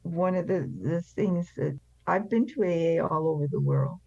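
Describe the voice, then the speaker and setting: An older woman speaks as an interview guest with a crackly, fry-laden voice. She is blunt and emphatic as she shares personal experience. The recording is dry and slightly dull. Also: lowish voice, normal speed, dark tone, no room sound. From France. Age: 70s or older. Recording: slightly dull, band-limited sound.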